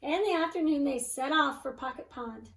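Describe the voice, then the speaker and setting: A woman reading a children's storybook aloud like a teacher, animated and engaging her listeners. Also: high-pitched, moderate pace, recorded from a distance. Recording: roomy echo, away from mic.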